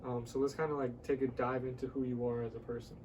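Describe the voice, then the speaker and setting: A man's voice: mid-range voice, flat delivery, fast talker, distant mic, noisy background. A man hosting a casual conversational podcast interview, often echoing short words of agreement as he listens. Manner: frequent short affirming responses, repeats words.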